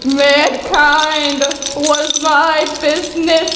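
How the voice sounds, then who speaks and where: A woman's voice: high voice, level tone. A woman playing the doomed, mournful ghost of a businessman in a live radio play, wailing and solemn in her delivery. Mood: remorseful. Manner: slow, wailing, solemn declamation. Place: theatre stage, live performance. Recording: echoey hall, long reverb, loud rattling noise.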